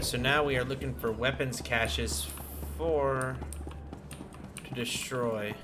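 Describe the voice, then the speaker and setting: A man giving casual, informal gameplay commentary, thinking aloud as he plays. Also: medium pitch. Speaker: game streamer. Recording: noisy with keyboard clatter. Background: game ambience, keyboard typing.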